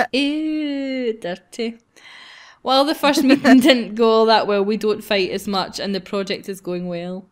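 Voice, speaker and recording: A woman's voice: a little high, fairly even intonation, measured pace. A woman hosting a gaming video, reading a visual novel aloud and breaking into casual banter.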